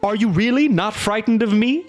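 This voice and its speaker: A man's voice: high-pitched, moderate pace, faint music behind, pitched above the performer's own voice, lightly acted. A man voicing a huge, bear-headed male monster who roars threats and grumbles indignantly. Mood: blustering grumble. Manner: roaring, bluster turning to grumbling.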